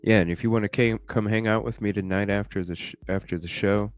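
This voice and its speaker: A man with a stuffed-up nose chats casually to his live audience in a very low voice, musing aloud and tossing in the odd curse word. Faint music plays quietly behind him. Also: fairly even intonation, a little fast. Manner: chatty, thinks aloud, swears casually. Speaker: live streamer. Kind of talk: casual chat reacting to a video. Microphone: desk microphone with webcam.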